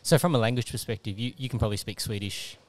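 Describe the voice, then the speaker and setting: A man hosting a podcast, asking questions conversationally and backing up his guest with steady "yeah" and "mm" replies. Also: mid-range voice, fast. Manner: encouraging, frequent "yeah" and "mm" backchannels. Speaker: podcast host. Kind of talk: conversational interviewing.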